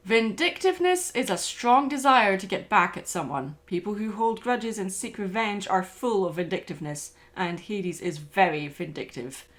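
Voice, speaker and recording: A woman's voice: medium pitch, animated, normal speed, hardly any pauses, faint music behind. A woman hosting and narrating a scripted video essay.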